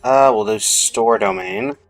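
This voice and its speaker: A man's voice: fairly low pitch, little pitch movement, takes it slowly. A man giving casual gameplay commentary as a streamer, half-muttering his thoughts aloud to himself. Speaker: game streamer. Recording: music behind the voice.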